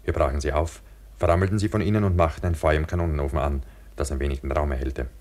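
Very deep-voiced, brooding mountain cable-car worker. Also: male voice, fairly even intonation, fast, a little mellow. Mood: brooding, reflective. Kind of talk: German radio play acting and first-person narration.

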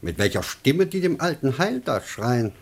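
An actor playing a hearty, calculating husband and householder in a radio play, expansive and wry. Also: male voice, mid-range voice, sing-song, normal speed. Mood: hearty, shrewd. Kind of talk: theatrical radio play acting.

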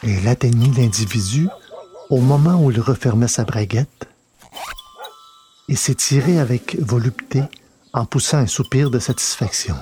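A man narrating a scripted dramatic story in a measured, literary delivery. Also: mid-range voice, dark tone. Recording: noticeable background noise.

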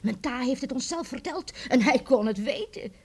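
A high, animated superstitious grandmother. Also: moderate pace, slightly rough, exaggerated voice. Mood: eerie, confiding. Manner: animated, chuckling, dropping to hushed suspense. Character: lively superstitious grandmother telling eerie witch tales. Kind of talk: radio drama acting, storytelling.

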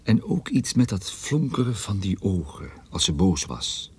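Radio-play casting: wry private detective, low husky voice, swinging delivery, easily rattled. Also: male voice, very animated, measured pace, full character voice. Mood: wry, brooding. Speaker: lead actor and narrator. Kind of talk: radio detective drama with first-person narration.

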